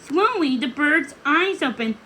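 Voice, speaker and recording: A woman reading a storybook aloud in an expressive narrating style. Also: sits high, unhurried. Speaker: storybook reader.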